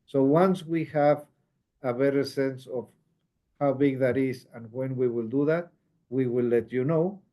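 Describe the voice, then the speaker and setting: A man speaking as a company executive, answering questions on an earnings call in a measured, explanatory way and repeating phrases for emphasis. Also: medium pitch, dark tone.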